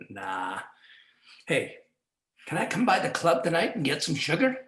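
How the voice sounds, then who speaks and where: An actor playing a smug, wisecracking 1940s police detective in a campy radio noir play. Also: male voice, on the high side, wildly swooping pitch, a little slow, a bit bright, full character voice. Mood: flirtatious. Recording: roomy live stage sound.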